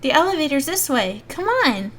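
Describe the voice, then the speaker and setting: Woman voicing an eager, higher-pitched young girl. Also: sing-song, normal speed. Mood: eager, calling. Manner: eager, calling out. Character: excited, eager young girl in visual novel.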